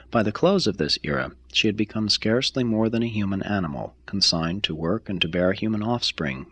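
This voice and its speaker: A man reading a scripted text aloud as an audiobook narrator, with a measured, formal delivery. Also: deep voice, a bit bright, breathy edge, no echo. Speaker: audiobook reader.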